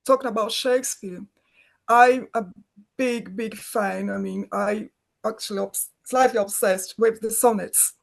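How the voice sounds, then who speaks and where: A woman's voice: mid-range voice, steady tone, unhurried, creaky. A middle-aged woman from Brazil, a native Portuguese speaker, talking as a filmmaker guest in an interview, often restarting her phrases as she searches for words. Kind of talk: conversational interview.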